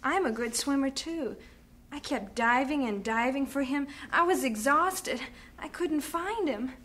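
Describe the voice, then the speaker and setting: A steadfast young widow, somewhat high, quiet grief. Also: female voice, expressive, a little slow. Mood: grieving. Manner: quiet, grieving but composed. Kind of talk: radio drama acting.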